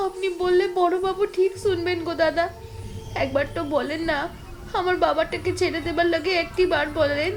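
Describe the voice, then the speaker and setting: A woman's voice: extremely high pitch, steady tone, moderate pace, a little hiss or hum. A woman voicing a pleading, tearful young village woman in an audio drama, with rustic, deferential speech. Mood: pleading, hopeful. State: crying.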